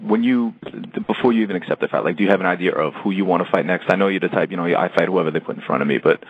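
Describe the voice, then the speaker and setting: A man's voice: low-pitched, breathy edge. A male reporter asking rambling, rephrased questions on a press teleconference. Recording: dull lo-fi phone line.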